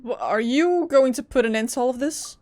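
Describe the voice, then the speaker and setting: High-pitched, expressive woman streamer. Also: brisk. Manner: expressive, exclamatory. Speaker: game streamer. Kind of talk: casual reactive game commentary. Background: game music.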